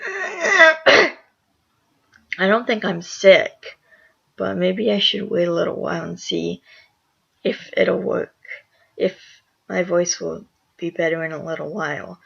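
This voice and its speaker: A channel host with a raspy, hoarse voice after just waking up, reading online reviews aloud with small stumbles and self-corrections. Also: medium pitch, lively intonation, slow pace, pauses often, sharp tone, dull audio.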